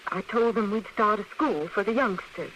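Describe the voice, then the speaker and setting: Woman playing an anxious, bright-voiced frontier woman. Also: mid-range voice, lo-fi sound, natural voice. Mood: regretful. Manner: halting, repeating words when flustered. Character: anxious, guilt-ridden frontier woman in a western. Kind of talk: old-time radio western acting. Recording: faintly noisy vintage radio recording.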